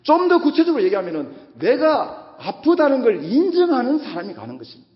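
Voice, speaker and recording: A male pastor's husky voice rings out quite high, rising and falling in big sweeps as he preaches. He leans on repeated phrases and pointed questions to the congregation. The sound carries a long church-hall reverb. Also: huge pitch swings, a little fast, lo-fi sound. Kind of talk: Sunday sermon.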